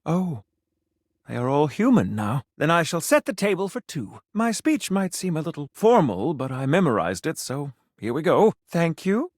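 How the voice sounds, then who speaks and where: An actor playing a pompous, flirty food critic in a video game, with formal, theatrical phrasing and French flourishes. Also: male voice, a little high, very animated, moderate pace, no room sound, clean studio sound. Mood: grand, sentimental. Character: pompous, flamboyant male food critic, flirty gourmand dandy. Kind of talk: video game character acting.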